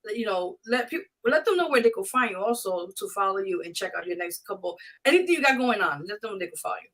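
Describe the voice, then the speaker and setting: A woman's voice: medium pitch, expressive, fast talker, fairly bright tone. A woman hosting a live radio interview in a casual, encouraging and effusive way. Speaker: radio show host.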